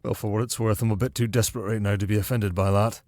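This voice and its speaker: A man's voice: on the low side, steady tone, fast, a little mellow, no room sound, natural voice. A man playing a wry young noble heir and plotter in a fantasy audio drama, speaking drily and formally. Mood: wry, conspiratorial.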